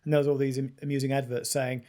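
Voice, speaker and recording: A man's voice: medium pitch, flat delivery, quick pace, warm tone. A man chatting casually as a podcast co-host on a remote call, asking teasing questions. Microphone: remote video call.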